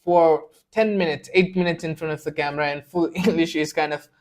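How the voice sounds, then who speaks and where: A man's voice: fairly high pitch, steady tone, fairly quick. A young man whose first language is Nepali, giving a blunt, earnest motivational talk to the camera and repeating phrases for emphasis. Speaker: self-improvement video creator. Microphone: camera mic, speaking to camera.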